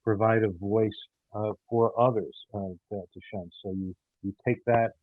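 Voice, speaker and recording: A man speaking haltingly as an interview guest, with frequent hesitations and pauses. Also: fairly low pitch, fairly even intonation, no echo. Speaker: audio theater producer and guest. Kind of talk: casual interview chat. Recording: muffled, phone-like narrow sound.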